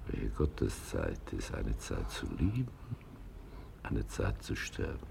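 An actor in a radio play playing a wry, patient, philosophical old man with a gravelly voice and a dry, musing, unhurried delivery. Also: a bass voice, wildly swooping pitch, noisy background, heavily acted. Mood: musing, tender. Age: older. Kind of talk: 1980s German radio play acting.

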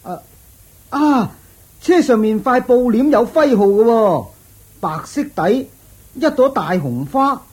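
A woman voicing an excitable young palace guard in a radio drama, exclaiming in a husky voice. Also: on the high side, slow pace, long pauses, full character voice. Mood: excited.

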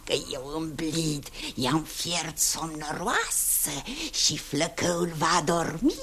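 A woman's voice: deep voice, animated, crowd noise. An actress playing a scheming old witch who laughs and mocks in a sly sing-song voice, in 1960s radio drama acting.

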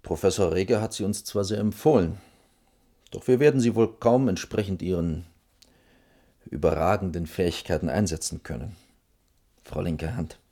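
A stern office superior in his late thirties in a radio drama. His voice is low, and he talks in brusque, formal officialese, putting on authority and strictness. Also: a little mellow. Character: stern, curt, dutiful boss playing at authority. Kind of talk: 1980s German radio drama acting.

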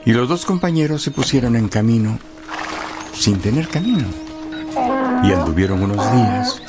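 A man narrating a radio drama as a wry, expressive storyteller. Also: mid-range voice, normal speed, close to an everyday voice. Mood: wry storytelling. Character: wry, leisurely male storyteller. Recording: studio radio drama with background music.

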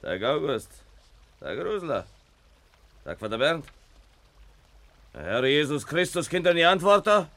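Hard-drinking Silesian machinist, needling and cocky, sharp voice that swings widely in pitch. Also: male voice, very animated, measured pace, pauses often, heavily acted. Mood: boastful, taunting. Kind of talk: naturalistic German radio drama acting.

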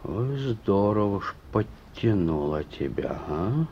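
An actor playing a shrewd, stern police chief with an ironic, folksy way of talking in a Soviet radio play. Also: male voice, on the low side, sing-song, takes it slowly, dark tone, slight background noise, exaggerated voice. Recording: muffled old radio recording.

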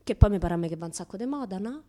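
An actress playing a self-styled naive, defiant young woman in a colloquial radio theatre monologue, with a Roman Italian accent, swinging between hushed asides and louder outbursts. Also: medium pitch, lively intonation, racing, close to an everyday voice. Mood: provocative, sardonic.

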